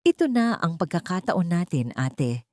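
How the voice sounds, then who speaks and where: A woman with a Filipino accent voicing a secretive, determined young housemaid in an audio story, hushed and urgent. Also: fairly low pitch, very animated, measured pace, dry recording, clean studio sound. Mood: hushed resolve.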